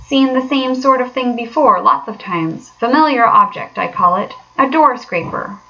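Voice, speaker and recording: A woman's voice: on the high side, normal speed, pushed and bright, lo-fi sound, slight background noise, pitched above the performer's own voice. A woman voicing a miserable, grumbling male mole in a storybook, with plaintive and sarcastic delivery.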